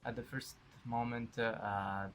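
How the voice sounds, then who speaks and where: A man of twenty-two from Italy, speaking English, slow and reflective, with frequent hesitations. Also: medium pitch, little pitch movement, fairly bright tone. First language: Italian. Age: 20s.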